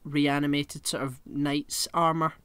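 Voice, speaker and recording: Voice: medium pitch, measured pace, a crowd in the background. A podcast co-host chatting casually and swearing freely while telling rambling comic stories. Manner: rambling, animated storyteller.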